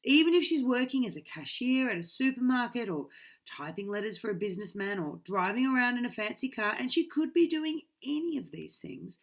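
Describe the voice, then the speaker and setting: A woman's voice: medium pitch, creaky. A woman, reading a children's book aloud dramatically into a webcam in a small bedroom. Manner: dramatic, swinging from loud to hushed. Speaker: reader.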